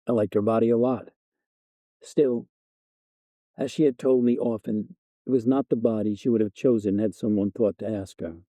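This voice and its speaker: A man narrating a first-person short story in a measured, wry literary reading style. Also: mid-range voice, dark tone, dry recording.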